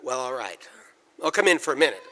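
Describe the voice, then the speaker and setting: A man's voice: medium pitch, very animated, fast, bright, forceful tone. A man playing a flustered, stubborn young suitor in a 1940s radio drama, stammering and blustering as he goes. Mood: flustered, evasive. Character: flustered, stubborn young man reluctantly courting, easily exasperated. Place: large church, before an audience. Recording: live church performance, long hall reverb.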